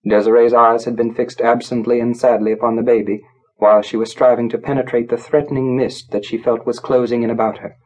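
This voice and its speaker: A man narrating a literary story from a script, in a measured, grave, dramatic storytelling manner. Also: medium pitch, flat delivery, a little mellow, poor audio. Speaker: audiobook narrator.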